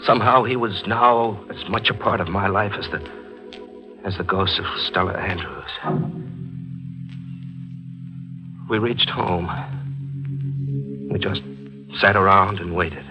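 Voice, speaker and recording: Deep-voiced, haunted noir narrator. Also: male voice, a little slow, long pauses, poor audio. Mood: fearful, resigned. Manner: melodramatic, brooding. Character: guilt-haunted male writer, brooding narrator of a thriller. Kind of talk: old-time radio noir narration and dialogue. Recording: vintage radio drama with music bed.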